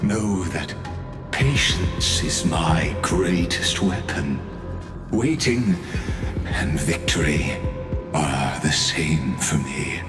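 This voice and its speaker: An actor playing an imperious, coldly grand genius villain in a video game, speaking pompously and deliberately with theatrical patience. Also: male voice, rumbling low, lively intonation, full character voice. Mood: menacing, haughty. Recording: echoing voice with music behind.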